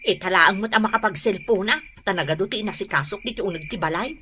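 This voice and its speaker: A woman acting an excitable, gossipy village housewife with an Ilocano accent in a comedy radio drama, exclamatory and quick to laugh. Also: medium pitch, noisy background, lightly acted. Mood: chatty, defensive.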